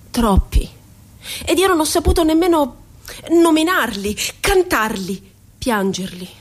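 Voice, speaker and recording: An actress playing a wounded, ironic woman poet remembering the asylum, in a deliberate theatrical radio monologue full of drawn-out words. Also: on the high side. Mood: ironic, lamenting. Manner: deliberate, poetic delivery with drawn-out words and rhetorical questions.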